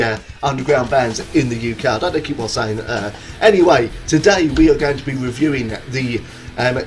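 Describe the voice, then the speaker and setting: A chatty man talking straight to camera in a loose, rambling way, full of ums and restarts. His voice sits in an ordinary middle pitch and sounds a little stuffed up from a cold and hay fever. Faint music plays softly underneath. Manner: chatty, rambling, many ums and self-corrections. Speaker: music reviewer and show host. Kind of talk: casual video review. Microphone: camera video recording.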